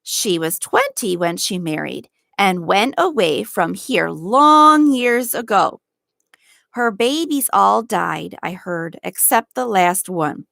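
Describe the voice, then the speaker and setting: A woman voicing a kindly, loyal old male gardener in a storybook, speaking in a gentle, deliberate rustic dialect. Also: medium pitch, big pitch swings, creaky, dry recording, clean studio sound, full character voice. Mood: gentle reminiscing. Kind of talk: storybook read-aloud.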